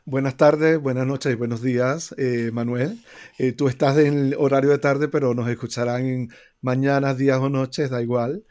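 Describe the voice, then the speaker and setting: A man's voice: medium pitch, fairly even intonation, normal speed, a little mellow. A man from Venezuela with a Venezuelan accent, answering interview questions thoughtfully with many fillers and restarts. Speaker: guest researcher.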